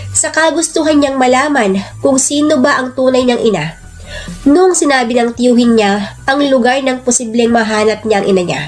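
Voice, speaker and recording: A woman narrates a scripted drama in classic radio storytelling style. Her voice sits fairly high, and music plays softly behind her. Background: background music.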